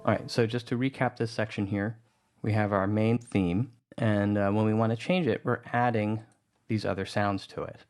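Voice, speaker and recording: A man narrating a music-editing tutorial in a relaxed, conversational way. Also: lowish voice, normal speed. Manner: relaxed, conversational, thinking aloud.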